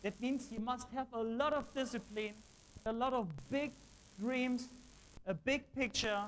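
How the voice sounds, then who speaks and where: A man's voice: extremely high pitch, unhurried, a bit bright, faint music behind, poor audio. A man from Germany with a German accent, giving an enthusiastic motivational speech on stage in slightly imperfect English. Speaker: motivational speaker at a sales event. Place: large event hall on stage.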